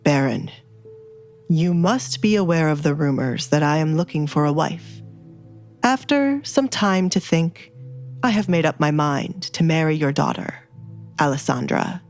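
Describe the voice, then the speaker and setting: A woman's voice: low voice, animated, unhurried, soft background music. A woman voicing a cold, composed male duke with a curt, haughty manner in an audio drama.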